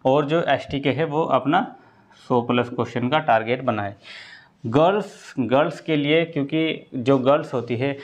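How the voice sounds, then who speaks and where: A man's voice: medium pitch, measured pace. A man teaching exam preparation informally to students, explaining step by step, repeating himself and often checking that they follow. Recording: dull lo-fi sound.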